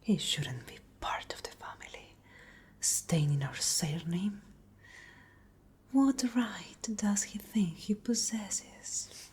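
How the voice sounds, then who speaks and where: A woman playing a cruel, haughty vampire noblewoman in a whispered ASMR roleplay, breathy, deliberate and taunting. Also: mid-range voice, expressive, darkish tone, heavily acted. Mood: haughty, contemptuous. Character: cruel, menacing noble lady vampire of a castle.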